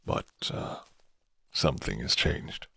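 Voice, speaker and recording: A man voicing a gruff, flirty but guarded werewolf in an intimate, murmured ASMR roleplay, with teasing pauses. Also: fairly bright tone, no room sound. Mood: musing, low. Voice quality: deep, low and gravelly.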